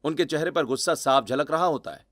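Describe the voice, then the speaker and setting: High-pitched man narrating a dramatic story. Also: fairly quick, fairly bright tone.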